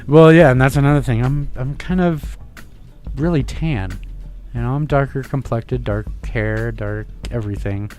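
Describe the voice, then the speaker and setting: A man with a powerful, forceful voice tells a story on a radio talk show. He acts out the back-and-forth, and his pitch sits in the middle. Soft music plays faintly underneath. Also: normal speed. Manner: animated storyteller who acts out dialogue. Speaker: radio guest. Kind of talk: casual radio chat, storytelling.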